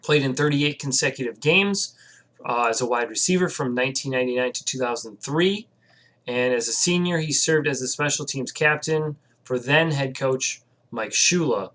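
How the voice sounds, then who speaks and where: Young guy host of a sports vlog, casual and unscripted, lots of ums and restarts, average pitch. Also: normal speed, a bit bright. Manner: rambling, with many fillers and self-corrections. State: recovering from a cold. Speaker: sports vlogger. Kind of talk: casual video commentary.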